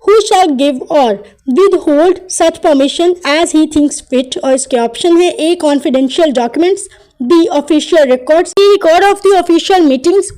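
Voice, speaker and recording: A woman, tutoring online and reading out law exam questions, options and answers in a slow, deliberate way. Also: very high-pitched, warm tone, poor audio. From Pakistan. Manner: slow, deliberate reading of legal text, brisker between questions.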